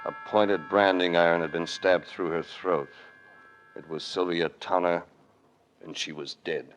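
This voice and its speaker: An actor playing a cool, sardonic American investigator in 1940s hard-boiled radio style. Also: male voice, sits low, steady tone, measured pace, lo-fi sound. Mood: grim narration. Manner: dry, sardonic, clipped. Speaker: lead and narrator. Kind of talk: 1940s radio acting, noir narration. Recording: vintage radio drama with music.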